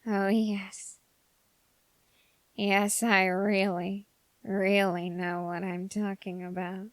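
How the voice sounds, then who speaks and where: A woman plays an ambitious young man with a dark heart in an intimate ASMR roleplay. The delivery is slow and formal, full of deliberate pauses. The sound is dry and close, with no room around it. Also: mid-range voice, flat delivery, dry recording, natural voice. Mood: hushed, longing. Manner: deliberate, halting, formal phrasing with drawn-out pauses. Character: ambitious, dark-hearted young male human bargaining with a demon.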